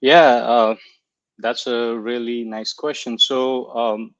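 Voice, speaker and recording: A young man from Nepal, a guest talking casually in an online interview, searching for words and filling pauses as he goes. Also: medium pitch, steady tone, no echo, dull audio. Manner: hesitant, searching for words, many fillers. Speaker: coaching client guest.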